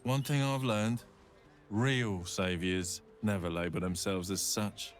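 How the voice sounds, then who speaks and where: An actor playing a shrewd, measured, disdainful merchant in a fantasy video game. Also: male voice, fairly low pitch, steady tone, faint music behind. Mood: knowing. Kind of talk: video game character acting.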